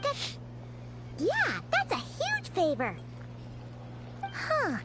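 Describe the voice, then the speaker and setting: A woman's voice: very high voice, huge pitch swings, extremely slow, very noisy, heavily acted. A woman voicing a small, chirpy, childlike female fairy companion in a video game, curious and talkative. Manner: perky, refers to self in third person. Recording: music under the voice.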